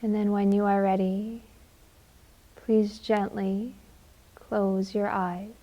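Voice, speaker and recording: A woman leading a guided meditation in a gentle, soothing manner, leaving long unhurried pauses. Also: mid-range voice, level tone, highs cut off.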